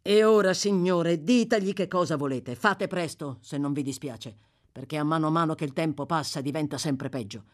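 A woman's voice: deep voice, moderate pace, natural voice. A woman doing a curt male jailer. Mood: urgent. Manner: curt, firm commands. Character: gruff male prison jailer, businesslike.

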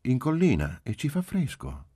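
A man's voice: mid-range voice, huge pitch swings, normal speed, dark tone, dry recording, clean studio sound, voice pushed up. A man reading a story as a firm mother, brusque but good-humoured with her small child. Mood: brisk, patient. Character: brisk, firm young mother of small girl.

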